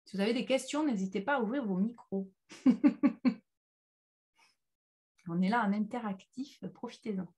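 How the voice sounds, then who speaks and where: A woman leading an informal online personal development workshop, speaking haltingly and leaning on key phrases with everyday examples. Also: mid-range voice, darkish tone. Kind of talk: informal interactive online workshop talk with slides.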